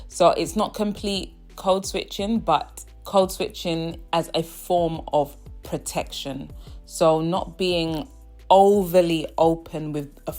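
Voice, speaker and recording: A young woman with a low, deep-set voice talks straight to the camera in a loose, chatty way. She keeps checking in with her viewers as she goes, and soft music plays quietly underneath. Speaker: vlogger host. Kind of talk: casual personal vlog monologue.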